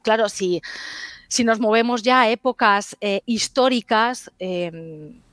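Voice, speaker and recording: A woman in her forties from Galicia whose first language is Galician, speaking as a historian guest over a video call with thoughtful pauses and tag questions. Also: fairly high pitch, a bit bright, no room sound. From Galicia, Spain. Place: home study lined with bookshelves. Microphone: headset on video call.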